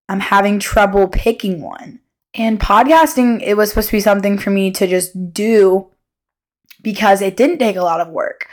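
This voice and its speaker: A woman of twenty hosting a solo podcast in a casual, unscripted way, candid and rambling, often interrupting herself. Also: mid-range voice. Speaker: podcast host.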